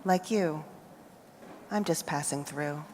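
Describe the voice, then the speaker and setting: An American woman reads her poetry slowly and deliberately, letting each line settle before the next. Her voice sits a little low, and a long reverberant hall tail trails after her words. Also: darkish tone, a little hiss or hum. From United States. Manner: measured, deliberate phrasing with pauses between lines. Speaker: poet. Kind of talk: poetry reading. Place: festival reading hall.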